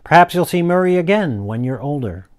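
A man's voice: a little high, animated, brisk, natural voice. A man voicing a protective, commanding baron father in a rhyming storybook tale.

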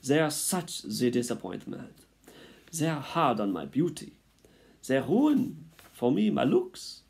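A vain French mother mouse from a storybook, acted out by a man, pitched well up from his own voice. She laments theatrically in broken phrases, loudly self-pitying, with big dramatic swings. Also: big pitch swings, slow pace, vocal fry, heavily acted.

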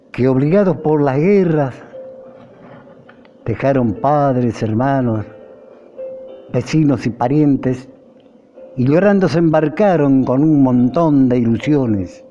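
An older Argentine man reciting a nostalgic poem in a measured, declamatory way, with phrases that rise and fall. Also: medium pitch, dark tone. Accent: Argentine Spanish. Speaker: poetry reciter. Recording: dull lo-fi recording with music. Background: music behind the voice.

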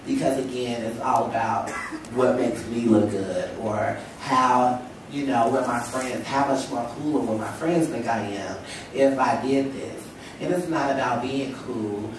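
A woman's voice: a bass voice, a little slow, noisy background. A young woman with a stuffy cold, speaking emphatically on a panel in a lecture hall, at a table microphone. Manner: emphatic, rhetorical, lots of "you know". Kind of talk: impassioned panel talk. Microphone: table stand mic. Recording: echoey hall, mic from far off.

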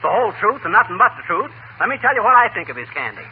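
A cocky, stubborn small-town husband, high-pitched and very animated. He blusters in folksy, blunt, ungrammatical talk in old radio comedy style, over a tinny narrow band. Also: racing, husky, exaggerated voice. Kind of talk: 1940s radio comedy acting. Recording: tinny, noisy 1940s radio broadcast.

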